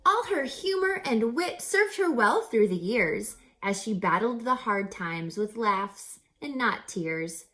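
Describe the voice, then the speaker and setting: A woman reads a picture book aloud in the manner of a teacher. Her voice sits fairly high and swings widely in pitch, and she takes her time, stressing key words and pausing on questions to her young listeners. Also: animated, sharp tone. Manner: dramatic, emphatic, pauses for rhetorical questions to listeners. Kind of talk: expressive children's read-aloud with teacherly asides.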